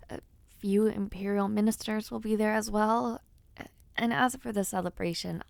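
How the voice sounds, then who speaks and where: A young noblewoman, played by a woman in an intimate audio roleplay, talks slowly and playfully in a close, dry recording. She is teasing yet bashful, pausing hesitantly, with a creaky fry in her voice. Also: medium pitch, darkish tone, no room sound. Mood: thoughtful, hesitant. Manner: playful, hesitant, with pauses and sighs. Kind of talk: intimate audio roleplay, one-sided conversation.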